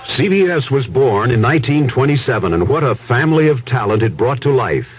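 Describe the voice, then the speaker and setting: A man's voice: medium pitch, expressive, brisk, faint music behind. An American man announcing a scripted radio network promo, on a dull 1970s broadcast.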